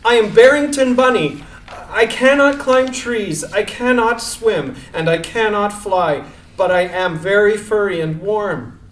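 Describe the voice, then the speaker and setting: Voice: mid-range voice, animated, normal speed, lightly acted. An actor playing a small, naive baby rabbit who is lonely, hopeful and politely earnest. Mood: eager, hopeful. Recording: echoey room.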